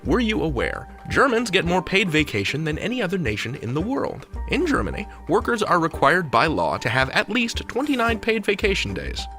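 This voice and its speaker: A man's voice: sits high, wildly swooping pitch, moderate pace, hardly any pauses, music in the background. A man hosting a podcast in a chatty, comic style, playful and conversational. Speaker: podcast host.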